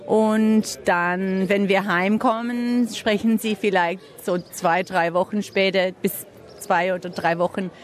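A woman answers interview questions in learner German. She keeps stopping to hunt for words and fills the pauses with small hesitations. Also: medium pitch, steady tone. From Australia. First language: English. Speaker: interviewed parent.

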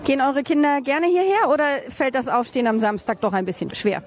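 A woman's voice: high-pitched, sing-song, brisk, a little mellow, a faint crowd. A woman reporter who interviews parents and children on location and asks friendly questions.

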